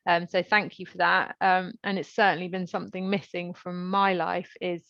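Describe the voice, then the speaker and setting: A woman podcast host chats informally and reflectively, with a noticeably creaky voice at an average female pitch. The recording is dry and close, with a slightly dull, lo-fi finish. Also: little pitch movement, normal speed, fairly bright tone, no room sound. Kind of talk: casual conversational interview.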